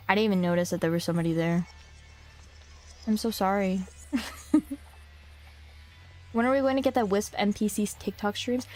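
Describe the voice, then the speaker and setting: A young woman streamer chatting loosely with her viewers, full of slang and restarts, her voice a little hoarse from a cough. She sits at a middling pitch and wanders from thought to thought. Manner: slangy, rambling, talks to chat. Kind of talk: casual streaming chat, reading a visual novel aloud.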